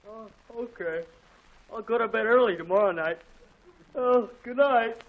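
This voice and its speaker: An actor playing an evasive, sleepy teenage boyfriend with a halting, sheepish manner in a 1940s radio comedy. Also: medium pitch, natural voice. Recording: dull lo-fi 1940s radio broadcast.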